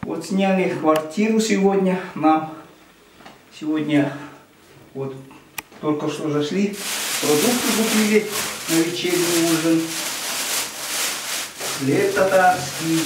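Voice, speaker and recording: A man, chatting casually on camera in a small kitchen, plain-spoken and chuckling often. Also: a little high. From Yakutia. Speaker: truck driver on a road trip. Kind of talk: casual vlog narration. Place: small rented apartment kitchen, indoors. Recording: echoey room with steady noise.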